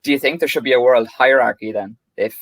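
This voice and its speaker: A man's voice: medium pitch, no echo. Rambling Irish podcast guy, dry mic. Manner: rambling, self-mocking, halting restarts. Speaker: podcast co-host. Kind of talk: casual chat.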